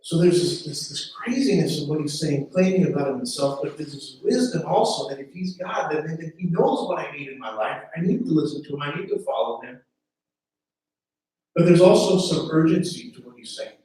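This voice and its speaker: Man, pastor, earnest sermon delivery, stumbles and repeats openings; heard from afar, boomy reverberant room. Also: normal speed, darkish tone. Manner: earnest, repeats words when starting phrases. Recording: very echoey room from a distance.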